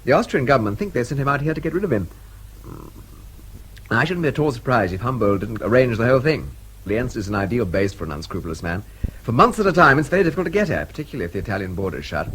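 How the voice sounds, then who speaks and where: An actor playing a brash, witty, hard-drinking English agent in a radio drama, flippant and breezy, with an English accent. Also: male voice, medium pitch, very animated, quick pace, fairly bright tone, slight background noise, poor audio. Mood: wry, explaining.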